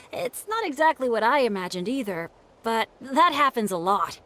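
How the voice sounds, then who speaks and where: A woman's voice: a little high, sing-song, unhurried, a little hiss or hum. A woman voicing a cheerful, modest teenage boy adventurer in a video game, with an easygoing manner. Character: upbeat teenage boy adventurer, humble and unlucky. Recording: game audio with soft music behind.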